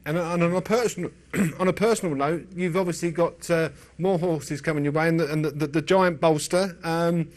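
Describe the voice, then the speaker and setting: A man hosting an informal sports interview, speaking haltingly and repeating words as he frames his questions. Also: on the high side, fairly even intonation, darkish tone. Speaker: interview host.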